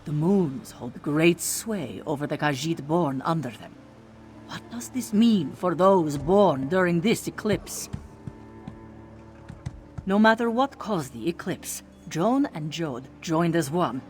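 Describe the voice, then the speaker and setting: A female cat-folk envoy from a fantasy video game. She is formal and dutiful, with measured phrasing and expressive movement in her pitch, over faint music. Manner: formal, refers to herself in third person. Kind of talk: video game character dialogue.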